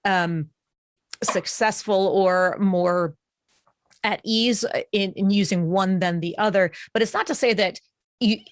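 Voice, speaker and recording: A woman's voice: medium pitch, takes it slowly, no echo, studio quality. An American woman hosting a podcast interview, explaining ideas at length and stringing together examples in a thoughtful, reflective way. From United States. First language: English. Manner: thoughtful, explanatory, lists examples with frequent "et cetera". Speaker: podcast host.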